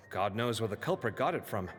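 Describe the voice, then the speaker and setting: An actor playing an expressive, chatty young man in a video game, telling things in a conversational, animated way. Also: lowish voice, normal speed, long reverb tail, slight background noise. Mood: curious. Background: game music.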